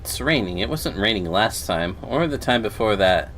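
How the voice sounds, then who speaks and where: A man's voice: fairly low pitch, animated, moderate pace, fairly bright tone, soft background music. A man livestreaming a game, reacting casually as he plays.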